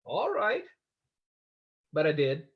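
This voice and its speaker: A man playing a suave, smug gentleman detective, wry and self-assured, in old-time radio style. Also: fairly high pitch, huge pitch swings, slow, narrow, tinny audio, full character voice. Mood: smug, teasing.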